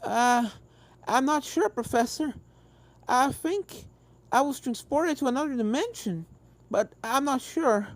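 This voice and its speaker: An adult putting on an earnest, high-pitched young filly. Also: expressive, measured pace, no echo. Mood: hesitant, polite. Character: brave young filly, country pony, earnest, anxious.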